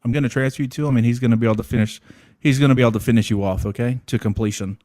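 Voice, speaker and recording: A man playing it straight as an official-sounding agent on a prank phone call, speaking in a hesitant, deadpan patter. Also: mid-range voice, rapid-fire, no room sound, clean studio sound. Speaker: prank caller posing as consumer center agent.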